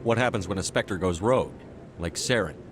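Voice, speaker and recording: An actor playing a terse, businesslike military commander in a video game, with a clipped, direct manner. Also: male voice, low voice, brisk, background noise, natural voice. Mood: businesslike, curious. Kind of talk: video game dialogue acting. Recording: music behind the voice.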